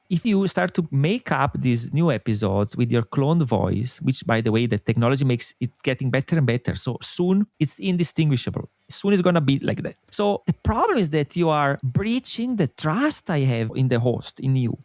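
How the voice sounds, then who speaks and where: A middle-aged man from Italy with an Italian accent, a guest in an interview, explaining his views in an earnest, animated way. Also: medium pitch, gravelly, dry recording. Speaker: podcast company co-founder, guest. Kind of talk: interview conversation.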